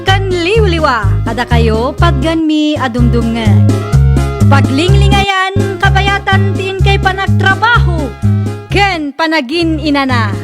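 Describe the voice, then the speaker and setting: Slow-speaking woman hosting a radio drama. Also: very high voice. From Philippines. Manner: slow, drawn-out announcer delivery. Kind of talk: scripted program outro.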